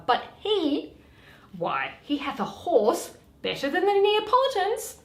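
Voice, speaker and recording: A woman playing a witty, weary young noblewoman in a dramatic, deliberate reading of Shakespeare. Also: high voice. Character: Portia, witty weary young noblewoman, mocking her suitors. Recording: echoey room.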